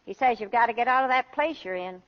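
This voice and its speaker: Actress as older mother-in-law, nagging, blunt, plain-spoken, highish pitch, dull vintage radio sound. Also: fast, close to an everyday voice. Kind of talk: 1930s radio comedy-drama acting. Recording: dull old 1930s radio recording.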